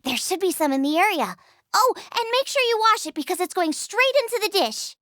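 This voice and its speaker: A woman voicing an excitable, cheerful and bossy girl chef in an animated video game, perky and emphatic. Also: very high voice, fairly quick, dry recording, clean studio sound, exaggerated voice. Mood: excited, upbeat.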